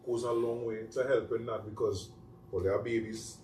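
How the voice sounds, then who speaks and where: A man's voice: medium pitch, little pitch movement, moderate pace, a little mellow. A middle-aged man with a Trinidadian accent, chatting as host in a loose, reflective, repetitive way. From Trinidad and Tobago. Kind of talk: casual chat. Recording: roomy sound, mic at a distance, faint noise.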